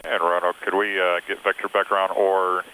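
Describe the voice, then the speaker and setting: A man's voice: low-pitched, flat delivery, fairly quick, sharp tone, slight background noise, rough recording. A man in a small plane, chatting casually and making radio calls as a pilot over an aviation headset intercom. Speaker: pilot monitoring and handling radio. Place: small airplane cockpit in flight.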